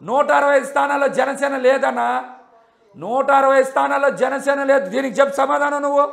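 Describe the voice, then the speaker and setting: Man, Andhra Telugu accent, high gravelly voice, fast, combative, repeats questions, live TV debate. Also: level tone, fairly bright tone. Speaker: politician on a debate panel.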